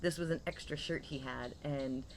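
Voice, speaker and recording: A woman in her thirties or forties co-hosting a casual talk show with relaxed, conversational banter. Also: low voice, normal speed, poor audio. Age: 30s or 40s. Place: screened porch with open greenery outside. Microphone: shared tabletop condenser microphone. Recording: clear outdoor ambient noise.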